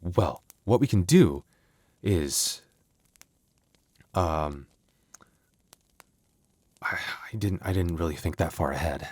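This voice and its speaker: Male actor as young werewolf boyfriend for intimate audio roleplay: low-pitched, dry close sound, teasing yet devoted and soft-hearted. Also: expressive, breathy edge, no echo, exaggerated voice. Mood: earnest, protective. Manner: conversational, teasing, halting when flustered.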